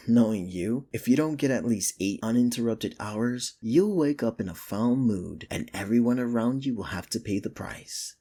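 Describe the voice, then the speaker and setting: A man's voice: medium pitch, moderate pace, dark tone, slightly rough. A man playing a sardonic but secretly caring office rival in an intimate ASMR romance roleplay, with dry, earnest delivery. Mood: teasing. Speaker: ASMR voice actor.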